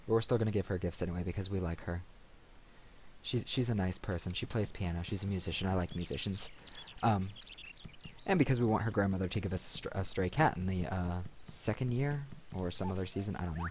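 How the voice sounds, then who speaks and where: A man's voice: sits low, fairly quick, a little mellow, a little hiss or hum. A man hosting a let's play, rambling casually and thinking aloud into a desk microphone. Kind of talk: casual gaming commentary. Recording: music behind the voice. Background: video game music.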